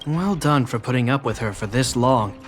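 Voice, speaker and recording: Actor as earnest, reserved young guard captain. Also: male voice, mid-range voice, normal speed, faint music behind, natural voice. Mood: dry, exasperated. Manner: formal, reserved, sincere. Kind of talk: video game voice acting.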